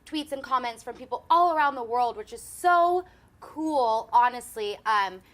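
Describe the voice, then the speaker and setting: A woman's voice: on the high side, animated, unhurried. A young woman in her twenties hosting a casual fan chat show, chatty and giggly, with lots of "um" and "like". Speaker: host.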